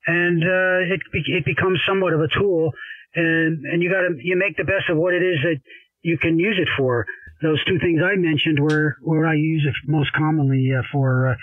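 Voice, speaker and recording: An older man with a fairly high voice talks as an amateur radio operator, rambling and halting as he explains. The sound is narrow and tinny like a radio link, a bit roomy and set back from the mic. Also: distant mic. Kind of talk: casual ham radio conversation.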